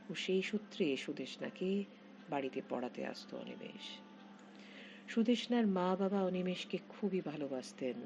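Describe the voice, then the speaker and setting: A woman narrating a scripted literary short story in measured, expressive phrases with pauses. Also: deep voice. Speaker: author reading own short story. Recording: dull lo-fi audio with heavy noise.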